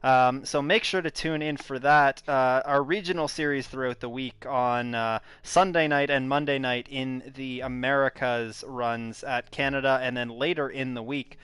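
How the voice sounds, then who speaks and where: Smooth-voiced male esports commentator. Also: medium pitch, fairly even intonation, normal speed, hardly any pauses, a bit bright, dry recording. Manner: hesitant, filler-heavy, friendly sign-off delivery. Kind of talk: broadcast race commentary wrap-up.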